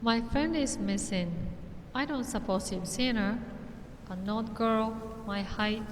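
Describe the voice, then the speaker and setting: An earnest, guarded young female mage from a fantasy game , acted by a woman. Her voice rings in a long hall reverb, with some faint noise and rumble around it. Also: slow. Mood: worried, confiding. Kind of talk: scripted fantasy video game dialogue.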